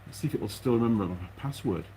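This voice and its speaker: A man's voice: medium pitch, animated, very fast, warm tone, a little hiss or hum, poor audio. A man chatting casually and unscripted to his viewers as a mechanic vlogger, rambling along and thinking aloud in his workshop.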